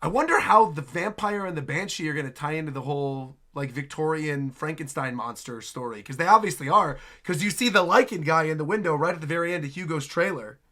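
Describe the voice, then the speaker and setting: A man giving casual reaction commentary as a video host, excitable and repeating words for emphasis. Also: fairly high pitch, a little fast, hardly any pauses.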